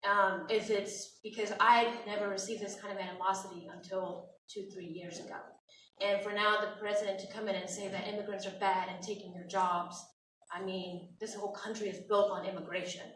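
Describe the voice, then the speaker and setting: South African woman, Afrikaans native, earnest, bright tense voice, distant in an echoey hall. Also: medium pitch, flat delivery, brisk, a little hiss or hum. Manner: earnest, puzzled, pleading. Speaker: audience member asking a question. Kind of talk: town hall question. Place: large hall with audience.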